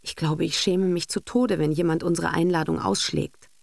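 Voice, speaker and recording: Woman doing fretful snobby-hopeful wife in an audiobook, sighy, murmury, bit of gravel, dry sound. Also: fairly low pitch, fairly even intonation, moderate pace, darkish tone, no room sound. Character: anxious, socially ambitious middle-aged wife, easily shocked. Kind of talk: literary audiobook character acting.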